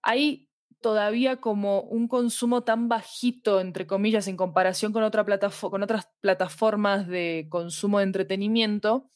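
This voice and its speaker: A woman's voice: medium pitch, steady tone, moderate pace, no echo, clean studio sound. A woman with a Latin American Spanish accent talking conversationally as an interview guest, explaining her thoughts and often checking for agreement. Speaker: podcast guest, fiction podcast producer. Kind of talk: conversational interview about audio fiction.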